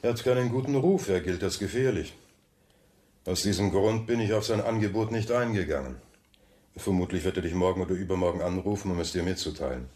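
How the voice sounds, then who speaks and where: An actor playing a suave, wealthy banker who talks smoothly and with polite condescension in a radio play. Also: male voice, deep voice, fairly quick, a little mellow, lightly acted. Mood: coolly controlling. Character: suave, wealthy banker, coolly probing his rival. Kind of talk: German radio drama dialogue.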